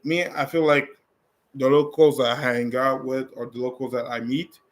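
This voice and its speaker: A young man answers viewer questions in a loose, conversational way, often stopping to restart his sentences. His voice sits at an ordinary middle pitch. He sounds casual and unscripted, like a vlogger talking straight to his camera. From Canada. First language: French.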